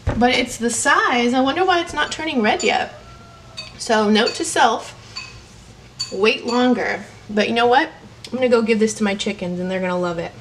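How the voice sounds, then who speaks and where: A woman's voice: on the high side, moderate pace, slight background noise. A middle-aged woman giving a casual, upbeat tour of her backyard garden, talking to a handheld camera. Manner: chatty and upbeat, talking to the camera while working outdoors. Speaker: gardening vlogger. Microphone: handheld camera mic. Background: faint insects and crickets.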